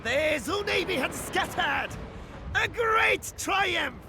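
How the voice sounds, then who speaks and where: An excitable, loyal samurai-era officer in a game cutscene. The voice is very high and creaky, swinging widely as he reports to his lord with urgent, dramatic deference. Music plays behind him. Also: slow pace, shouting, exaggerated voice. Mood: urgent, exultant. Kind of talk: cinematic strategy game acting.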